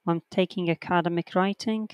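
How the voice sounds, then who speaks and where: A writing teacher giving an online lesson, reading textbook sentences aloud slowly and counting the corrections one by one. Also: medium pitch, fairly even intonation, clear, resonant tone, no echo.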